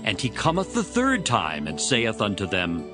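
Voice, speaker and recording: A man's voice: fairly low pitch, huge pitch swings, moderate pace, over music. A man narrating dramatized scripture as a storyteller between the character parts.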